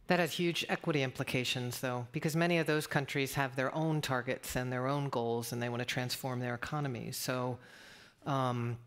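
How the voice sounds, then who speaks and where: A woman's voice: a bass voice, steady tone, normal speed. A woman speaking as a government policy expert on a panel, explaining earnestly with hesitant, searching phrasing. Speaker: panelist, government climate official.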